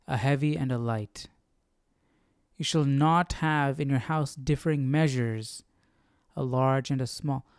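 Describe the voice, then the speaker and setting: A man hosting a casual Bible study, reading aloud and commenting as he questions himself and thinks out loud. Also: on the high side, clear, resonant tone, dry recording, clean studio sound. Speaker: host of a Bible study stream.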